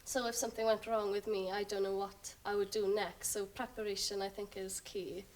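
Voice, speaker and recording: A woman's voice: mid-range voice, little pitch movement, brisk, darkish tone, far from the mic. A young woman answering as a student guest, hesitant and full of fillers. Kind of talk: casual interview answers.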